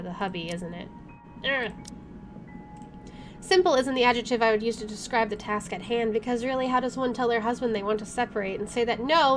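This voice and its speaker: Higher-pitched woman doing game playthrough. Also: fairly bright tone. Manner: informal, reactive. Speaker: gaming video host. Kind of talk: playthrough commentary and reading game narration aloud. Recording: music behind the voice. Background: game music.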